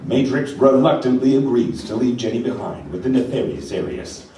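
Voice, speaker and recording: A man's voice: medium pitch, very animated, normal speed, warm tone. Echoey, dramatic male narrator. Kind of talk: dramatic scripted narration, live radio play. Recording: echoey, distant live room, background noise.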